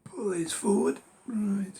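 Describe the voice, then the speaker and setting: A man with a hoarse, gravelly voice that keeps dropping to a half-whisper, musing unhurriedly over his book collection with many ums and rights. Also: sits high, takes it slowly, warm tone. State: losing his voice. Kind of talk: casual collection walkthrough.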